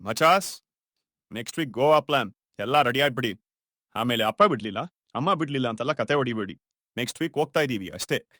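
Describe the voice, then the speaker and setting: A man's voice: mid-range voice, animated, a little fast, a bit bright, no echo, studio quality, lightly acted. A man voicing a bossy, selfish young friend in a cartoon story, slangy and dismissive. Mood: bossy excitement. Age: young adult. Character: brash, selfish young ringleader of college friends. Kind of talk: cartoon short story dialogue.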